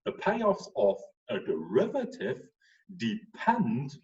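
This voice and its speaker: A man's voice: mid-range voice, takes it slowly, recorded from a distance, lo-fi sound. A man in his forties with a German accent, a professor giving a casual online lecture with frequent ums. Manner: relaxed, chatty, with frequent ums.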